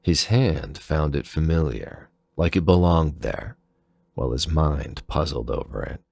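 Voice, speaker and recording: A man's voice: very deep voice, expressive, a little slow, vocal fry, a little mellow, slightly rough, no room sound, studio quality. A man reading a scripted dramatic story as its narrator.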